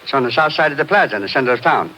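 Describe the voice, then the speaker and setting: Actor as an animated, courteous protective uncle. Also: male voice, medium pitch, very fast, lightly acted. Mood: hospitable. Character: protective, hospitable, well-off Portuguese uncle. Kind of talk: 1950s radio drama acting. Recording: noisy old radio recording.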